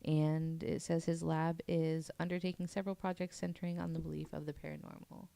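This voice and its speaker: A woman's voice: sits low, flat delivery, vocal fry, dark tone, no echo. A woman co-hosting a podcast in casual chat, laughing often, using lots of fillers, and reading from an article. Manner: reads article aloud haltingly. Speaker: podcast co-host presenting research.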